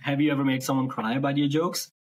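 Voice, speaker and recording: A man's voice: mid-range voice, level tone, fast talker. A man in his late twenties hosting a podcast, asking probing follow-up questions in a casual chat. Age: late 20s. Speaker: podcast host.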